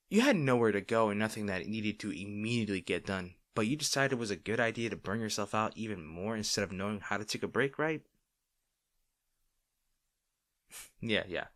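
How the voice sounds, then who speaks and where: A man playing a caring boyfriend in an intimate audio roleplay, talking tenderly and with gentle insistence to his partner. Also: fairly low pitch, close to an everyday voice. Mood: teasing, fond. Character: caring, gently firm young boyfriend soothing an overworked partner.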